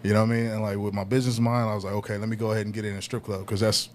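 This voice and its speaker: Man, deeper voice, laid-back storyteller, casual podcast chat, faint background noise. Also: little pitch movement, racing, darkish tone. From Southern California. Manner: laid-back, peppered with "you know what I mean", laughs as he tells it. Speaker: guest hip hop artist.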